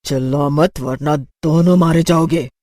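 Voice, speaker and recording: Guy doing a menacing ghost of a schoolgirl, dry close sound, highish for a man. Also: steady tone, unhurried, darkish tone, no room sound, natural voice.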